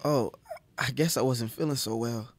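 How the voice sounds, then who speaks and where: An actor playing a timid, eager and awkward new deputy in a western audio drama, hesitant and stammering. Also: male voice, medium pitch, normal speed, lightly acted. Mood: sheepish, dazed.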